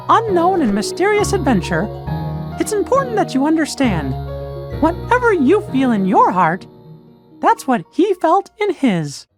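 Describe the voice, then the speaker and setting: A chirpy little cricket narrator. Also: male voice, very high voice, exaggerated voice. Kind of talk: video game cutscene acting and narration. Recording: game audio over loud music.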